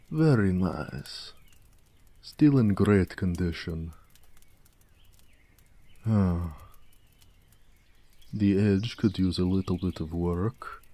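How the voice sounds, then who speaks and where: Male actor as orc blacksmith, very low voice, dark mellow tone, tender, quietly proud, intimate fantasy audio drama. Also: no room sound, exaggerated voice. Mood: appraising. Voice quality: deep, mellow. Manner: unhurried, tender, modest yet proud. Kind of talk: intimate scripted audio roleplay to the listener.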